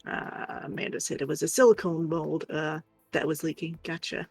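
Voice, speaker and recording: A woman's voice: sits low, fairly even intonation, normal speed, gravelly, darkish tone, husky, no room sound, faint music behind. A woman in her thirties casually co-hosting a livestream, reading out viewer comments in an easygoing, encouraging way. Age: 30s. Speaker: livestream co-host reading chat.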